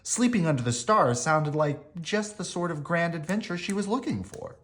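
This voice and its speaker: A man reading a children's bedtime story aloud in an animated, emphatic way. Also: a little high, normal speed. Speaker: storybook reader.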